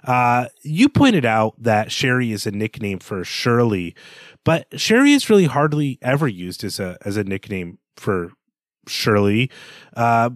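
A male podcast co-host talks through a book in a conversational, wandering way. His voice sits in a middle pitch and he drops wry asides among the analysis. The recording is dry and free of room echo. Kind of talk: conversational literary discussion.

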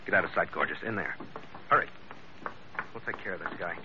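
An actor playing a tough, wry ship's skipper, hero of an old-time radio adventure, with a terse, cool manner. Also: male voice, low-pitched, brisk, noisy background, close to an everyday voice. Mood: urgent. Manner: hard-boiled, terse, cool. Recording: muffled vintage radio recording with music.

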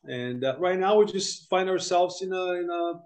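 A man from Brazil, a podcast guest, talking in a halting, filler-heavy way with many restarts. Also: sits high, a little mellow. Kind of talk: casual podcast conversation.

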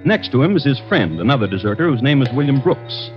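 A man hosting an old radio crime show, reading scripted narration in a deliberate, dramatic, formal manner. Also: mid-range voice, big pitch swings. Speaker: host and narrator. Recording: dull vintage radio recording. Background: music.

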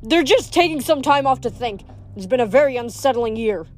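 A woman's voice: fairly high pitch, lively intonation, fairly quick, faint music behind, close to an everyday voice. A woman voicing a very old, fat male satyr who is pompous and cowardly, talking in a huffy, blustering way and stammering when scared. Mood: nervous.

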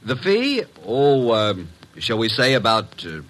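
An actor playing a brash, wry detective's assistant in a 1950s radio mystery, glib and animated. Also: male voice, medium pitch, takes it slowly, heavily acted. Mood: eager, glib. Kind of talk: 1950s radio drama acting and narration. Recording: vintage 1950s radio recording.

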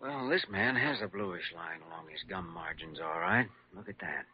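An earnest young hospital doctor in classic radio style. His voice is deep and a little rough, with a determined manner. The old recording sounds dull. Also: huge pitch swings, moderate pace, faint music behind, exaggerated voice. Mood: focused, clinical. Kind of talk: old-time radio drama acting.

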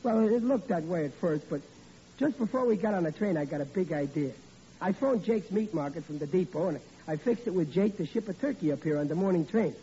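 A man's voice: high-pitched, expressive, brisk, warm tone, slight background noise. An actor playing a bumbling, bluffing father who stammers through his excuses, in 1940s radio acting style, on an old lo-fi recording. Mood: blustering. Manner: stammering, bluffing comic bluster. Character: blustering bumbling father Riley.

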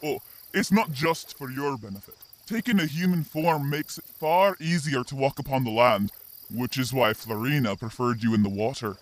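An actor playing a courteous selkie elder in creature form in a fantasy audio drama, formal and ornate in speech. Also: male voice, mid-range voice, animated, moderate pace, lightly acted. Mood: explanatory.

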